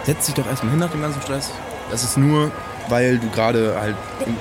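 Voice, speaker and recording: An actor playing a hot-headed young climate activist in a naturalistic audio drama, with slangy, emphatic speech. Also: male voice, mid-range voice, a little fast, over music, natural voice. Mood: placating.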